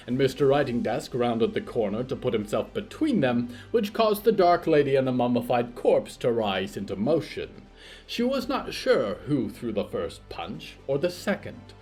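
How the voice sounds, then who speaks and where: A man tells a dark fantasy story as scripted narration. His delivery is measured and dramatic, with expressive pitch, and music plays underneath. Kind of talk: scripted horror-fantasy audio fiction narration.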